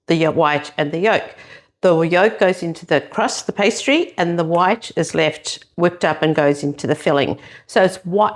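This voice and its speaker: A middle-aged South African woman co-hosting a home cooking show, chatting through each step as she works. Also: on the low side. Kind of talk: casual cooking demonstration.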